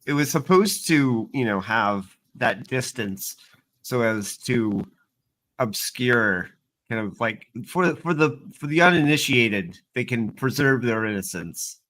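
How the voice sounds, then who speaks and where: Halting man with a mid-range voice. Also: mid-range voice, lively intonation, a bit bright. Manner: halting, roundabout explanations. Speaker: livestream panelist. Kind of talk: casual chat.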